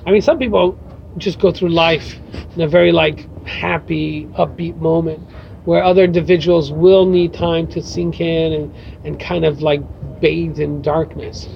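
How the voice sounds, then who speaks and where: A man with a high-set voice and a gravelly edge, answering questions in a thoughtful, meandering way. The sound is slightly muffled, with a faint hum of noise behind. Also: little pitch movement, a little slow. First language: English. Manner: reflective, many fillers like "you know" and "uh". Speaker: guest writer. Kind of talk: casual interview answers.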